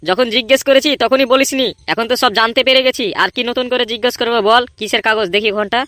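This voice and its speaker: Man voicing a pushy, bright-voiced cartoon boy. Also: extremely high pitch, flat delivery, fast talker, no echo. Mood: accusing. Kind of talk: amateur comedy cartoon dub.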